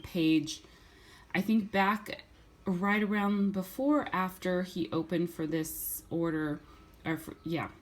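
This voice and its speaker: A middle-aged woman with a slightly hoarse voice from a cold, chatting casually and rambling through a haul video at home. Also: lowish voice. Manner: chatty, rambling, with many restarts and fillers. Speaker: vlogger.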